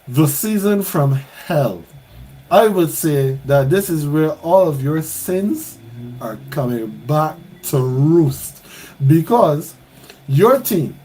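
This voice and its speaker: A man's voice: fairly high pitch, mellow, rough recording. A man hosting a podcast, talking sport in a playful, teasing way. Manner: playful, teasing, builds up to questions. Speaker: podcast host. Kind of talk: casual sports chat.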